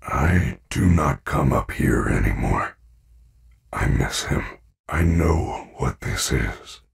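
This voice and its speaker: A man voicing a gentle, earnest animatronic bear in a video game, speaking formally and carefully with few contractions. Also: very deep voice, vocal fry, breathy edge, distant mic, full character voice. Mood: solemn, sorrowful.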